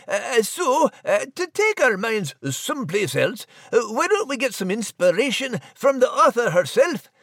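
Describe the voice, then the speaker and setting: A folksy, kindhearted Scottish animal character in an audio drama. He is bumbling and very high-pitched, with swooping intonation and a dry, close recording. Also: big pitch swings, measured pace, hardly any pauses, fairly bright tone, no echo, full character voice. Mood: sheepish, friendly.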